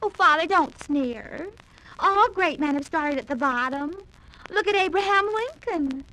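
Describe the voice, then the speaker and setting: A woman's voice: high-pitched, expressive, measured pace, bright, forceful tone, heavily acted, low-quality recording. An actress playing an earnest young daughter protesting to her father in 1940s radio drama style. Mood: pleading, defensive.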